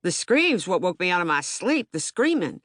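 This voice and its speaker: A woman's voice: on the low side, sing-song, moderate pace, a bit bright, no echo, faint music behind. Woman acting emphatic streetwise man, close and dry. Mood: agitated, vivid. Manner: emphatic, colloquial, repetitive, stammering on words. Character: agitated, streetwise young Baltimore man, eyewitness bystander. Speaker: actor in documentary theater. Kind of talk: dramatic monologue, audiobook theater.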